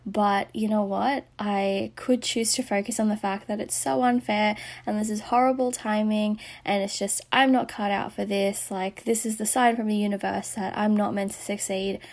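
Woman, hoarse, losing her voice, motivational podcast talk, earnest, breathy whispery patches, middle pitch. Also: steady tone, normal speed, few pauses. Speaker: podcast host.

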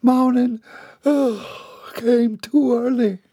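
A man's voice: very high-pitched, fairly even intonation, slow, dark tone, husky, no echo, studio quality, voice pushed up, heavily acted. A man voicing a sleepy young housemaid. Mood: groggy. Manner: groggy, drowsy, eager to please.

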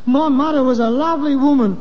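An actor playing a touchy middle-aged grown-up son with a London accent, emphatic and theatrical in British sitcom style. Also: male voice, extremely high pitch, brisk. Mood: indignant. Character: petulant grown-up son, touchy, devoted to late mother. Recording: muffled, noisy, reverberant old sitcom recording.

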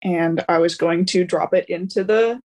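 A woman's voice: lowish voice, moderate pace, a little mellow. A woman playing a tabletop roleplaying game, chatting casually as she explains what her character does.

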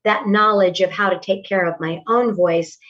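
A woman's voice: medium pitch, steady tone, normal speed, recorded from a distance. A woman in her sixties, a voice and acting teacher, speaking thoughtfully and articulately in a casual interview. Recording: dull lo-fi audio, slightly off-mic.

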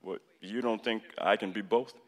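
A man's voice: lowish voice, fairly even intonation, normal speed, a bit bright, lightly acted. An actor playing a cocky, wry progressive president in a radio play, casual and teasing in his delivery. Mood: wry, friendly. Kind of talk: conversational radio play dialogue. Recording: long reverberant hall sound.

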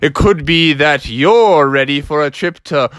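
A man's voice: a little high, measured pace, fairly bright tone, dry recording. A man voicing a breezy, insistent frog father in a children's story, jovial and emphatic. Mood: eager.

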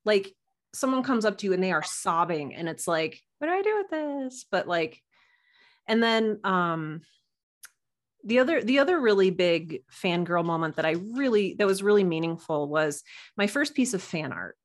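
A woman guest on a podcast, telling personal anecdotes in a casual, animated and halting way over a video call. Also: mid-range voice.

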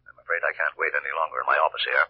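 Insistent businessman, extremely low husky voice, clipped telephone sound; forties radio drama. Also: steady tone, racing, no echo, dull audio, heavily acted. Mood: cool, insistent. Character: businesslike, insistent man awaiting a due delivery. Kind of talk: 1940s radio drama acting.

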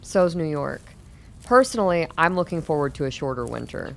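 A woman's voice: low-pitched, lively intonation, moderate pace, vocal fry, slight background noise. A woman playing a formal, self-assured older doctor in a radio drama, composed and guarded. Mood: cordial.

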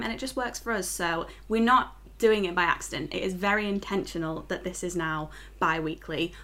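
A woman's voice: medium pitch, a bit bright. Chatty, giggly young woman podcaster. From Liverpool, England. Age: 20s. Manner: chatty, giggly, self-mocking. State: just woken up. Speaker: podcast co-host. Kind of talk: casual chat.

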